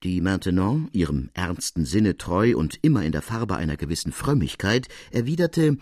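A man reading classic literature as a scripted narration, with expressive, dramatic and deliberate phrasing. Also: deep voice, dry recording, clean studio sound. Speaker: audiobook narrator.

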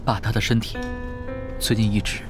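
A man's voice: on the low side, level tone, moderate pace, over music, close to an everyday voice. An actor playing a polite, earnest and persistent young man, a younger twin brother, in a radio drama. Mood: persuading. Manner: courteous, earnest.

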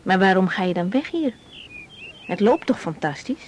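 An actress playing a curious, chatty woman doctor in a naturalistic radio drama, exclaiming and halting as she reacts to a confiding colleague. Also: mid-range voice, big pitch swings, slight background noise, natural voice. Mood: curious, amused.

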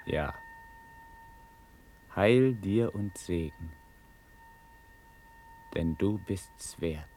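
A courteous officer in a classical verse radio play. The voice is deep and unhurried, calm and formal, gently attending to a prince. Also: male voice, a little hiss or hum, full character voice. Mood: solemn, soothing. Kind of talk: German classical verse radio drama acting.

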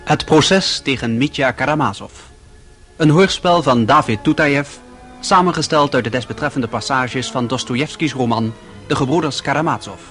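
A man reading a formal, measured scripted announcement as a radio drama announcer. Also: medium pitch, fairly bright tone, soft background music.

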